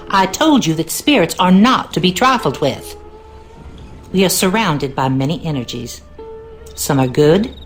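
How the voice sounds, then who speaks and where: An actress playing a wry, superstitious cleaning woman in a video game, with a Southern US accent and a knowing, ominous way of talking. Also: sits low. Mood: ominous, guarded. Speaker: game character, cleaning lady. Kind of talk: video game character dialogue.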